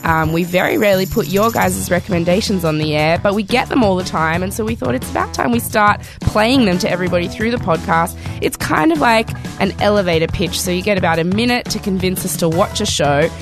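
Croaky Australian woman with a cold. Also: mid-range voice, fairly quick, hardly any pauses, music in the background. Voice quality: croaky, voice cracking. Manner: chatty, enthusiastic. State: hoarse from a cold. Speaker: podcast host. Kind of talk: casual podcast chat. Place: podcast studio.

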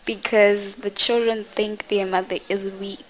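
A woman's voice: medium pitch, flat delivery, measured pace, a little hiss or hum, poor audio. A teenage girl, a student guest, giving brief, reserved answers in a casual radio interview. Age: teens.

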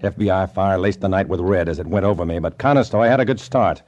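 A man's voice: sits low, fast talker, muffled recording, loud music behind the voice, close to an everyday voice. An actor playing a tough, clipped undercover agent in a 1950s radio drama, who also narrates his story. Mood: grim narrating. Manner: hard-boiled, clipped.

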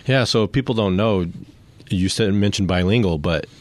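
Low-voiced American male radio host. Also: moderate pace, no echo. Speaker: police sergeant hosting a radio show. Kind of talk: casual radio interview.

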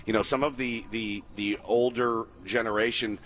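A man's voice: on the low side, steady tone, moderate pace, a bit bright. A man co-hosting sports radio with informal, colloquial, chatty delivery. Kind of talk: casual chat.

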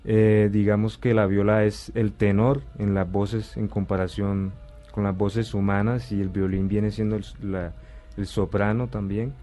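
Mellow low-voiced man explaining. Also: little pitch movement, a little slow, faint music behind. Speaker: orchestral viola player, interview guest. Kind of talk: explanatory interview talk.

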